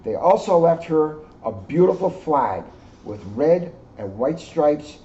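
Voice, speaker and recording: A man in his sixties, a police officer reading aloud to children and commenting informally in an earnest, expressive way. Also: on the high side, slow pace. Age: 60s. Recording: muffled, noisy amateur video sound.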